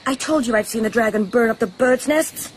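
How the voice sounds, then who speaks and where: A woman's voice: on the high side, fairly quick, slight background noise, natural voice. A woman playing a feisty, curious young island woman in a radio drama, direct and outspoken. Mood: insistent.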